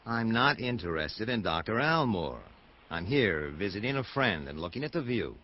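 An actor playing a cynical, wisecracking American private detective in a hardboiled radio drama, narrating and trading dialogue. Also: male voice, on the low side, sing-song, moderate pace, muffled recording. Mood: sardonic. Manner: sardonic, wisecracking.

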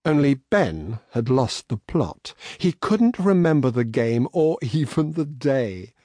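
Man, children's storybook narrator, unhurried, slightly rough-edged voice, scripted verse, measured delivery. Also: medium pitch, sing-song, warm tone, no echo, clean studio sound. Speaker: audiobook narrator.

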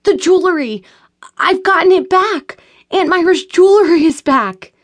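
A woman reading an audiobook gives voice to a frantic, fearful young woman, pitching her speech up and pleading urgently. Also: normal speed, lightly acted.

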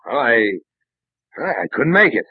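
An actor playing a troubled, sheepish American star ballplayer who talks hesitantly and evasively in an old-time radio drama. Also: male voice, medium pitch, sing-song, a little slow, slightly rough, no echo, exaggerated voice. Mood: sheepish, defensive. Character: sheepish, troubled big-hearted star baseball slugger. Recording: dry, dull old radio recording.

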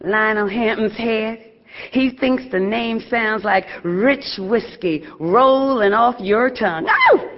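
An actress playing a sassy, proud young blues singer in a stage drama. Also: female voice, on the high side, takes it slowly, muffled recording. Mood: sassy, evasive.

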